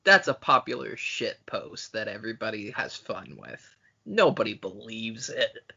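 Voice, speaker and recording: A man with a bright, punchy voice chats as a podcast co-host. He is casual, emphatic and happy to swear. The recording is a little dull and lo-fi. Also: lowish voice, big pitch swings, measured pace, creaky, husky. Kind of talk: casual chat about films.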